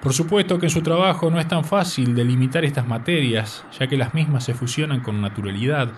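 A man from Uruguay, reading a prepared radio text as the presenter in a measured way. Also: mid-range voice, far from the mic, slight background noise. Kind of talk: scripted radio narration.

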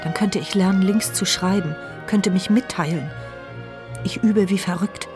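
A woman voices a mute, paralyzed young woman who tells her story from the inside, in a radio drama. She speaks in a reflective, intimate way at a middle pitch. Faint music plays behind her. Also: measured pace, lightly acted. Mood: determined. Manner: intimate, reflective inner narration. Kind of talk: radio play inner monologue.

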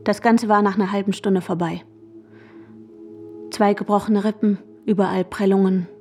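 An actress playing a distraught, insistent young woman searching for her missing fiancé in an intimate radio drama, often hushed and halting. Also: medium pitch, steady tone, natural voice. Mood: numb, drained. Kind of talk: radio drama, intimate first-person narration and dialogue. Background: music.

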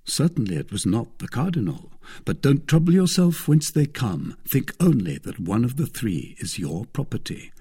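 A gallant, confident young soldier from a storybook, acted by a male reader. He banters breezily, his pitch slightly raised and very lively, with a soft huskiness. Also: moderate pace, few pauses, slightly rough, heavily acted. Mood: generous, pleased. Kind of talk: storybook character dialogue.

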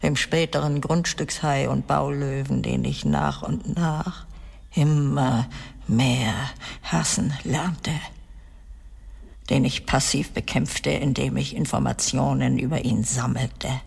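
An actress playing a bitter, broken middle-aged wealthy woman confessing in a radio drama, with a husky, creaky voice and halting, weighty delivery. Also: rumbling low, steady tone, darkish tone, full character voice. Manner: slow, halting, weighty delivery with breathy drops. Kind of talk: dramatic radio play monologue. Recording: long reverberant hall sound.

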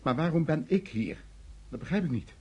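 A man's voice: fairly high pitch, animated, moderate pace, husky. An actor playing a frightened, stammering man who protests under interrogation in a radio play. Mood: indignant, agitated. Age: 40s.

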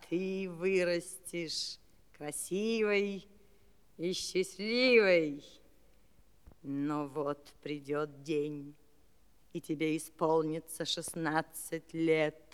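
A woman's voice: fairly low pitch, sharp tone, smooth, exaggerated voice. A woman playing a wicked, vengeful old witch fairy in a fairy-tale audio play, imperious, menacing and theatrical. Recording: long hall reverb.

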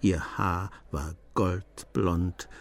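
A man's voice: deep voice, steady tone, drawn-out words, gravelly. A man narrating a scripted literary crime story as the narrator of a radio drama.

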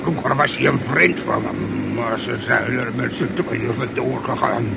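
A man playing a gravelly brawling sailor. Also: medium pitch. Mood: grim. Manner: blustering, quick to threaten. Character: hot-tempered brawling male sailor, drinker. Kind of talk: radio play acting. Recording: noisy old radio play recording.

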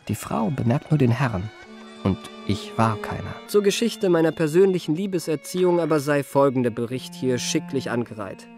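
Suave, self-satisfied young confidence trickster. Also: male voice, a little high, creaky, a little mellow. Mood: wistful irony. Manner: ornate, self-satisfied, mannered formal diction. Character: elegant young male confidence trickster recounting his life. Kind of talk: literary first-person radio play narration. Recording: music behind the voice.

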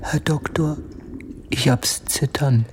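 Low, haunted soldier voiced by a woman. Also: a little mellow. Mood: weak, trembling. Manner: halting, breathy, often whispering. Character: tormented, haunted poor soldier, servile and fatalistic. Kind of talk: theatrical German radio drama acting.

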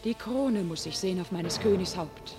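A woman's voice: on the low side, moderate pace, close to an everyday voice. A woman playing a fervent young warrior maiden. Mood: defiant. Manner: solemn verse declamation, sinking to a whisper. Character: young female warrior prophet, fervent and commanding. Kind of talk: classic radio play acting. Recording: radio drama with faint music.

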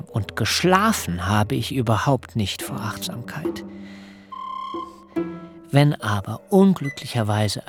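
Radio drama: aggrieved older patriarchal herdsman, low gravelly voice, archaic oratory, slow delivery over faint music. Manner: archaic, rhetorical, deliberate. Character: aggrieved ageing biblical herdsman, pouring out righteous anger. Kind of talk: literary radio drama acting.

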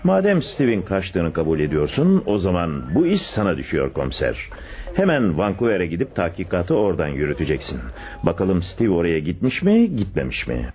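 An actor playing a calculating police chief with a secret lover, deliberate and probing, in a radio drama. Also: male voice, sits low, huge pitch swings, a little mellow. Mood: inquiring, authoritative. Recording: radio drama with audible background noise.

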